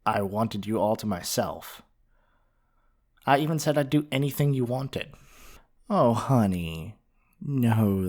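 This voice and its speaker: A man's voice: fairly low pitch, a little mellow. A man playing a flirty, possessive half-dragon librarian in an intimate ASMR roleplay, speaking earnestly and affectionately with tender endearments. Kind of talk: ASMR romantic roleplay monologue.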